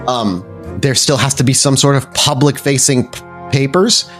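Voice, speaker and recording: A man's voice: medium pitch, moderate pace, fairly bright tone, soft background music. A man, improvising narration as the storyteller of a tabletop game.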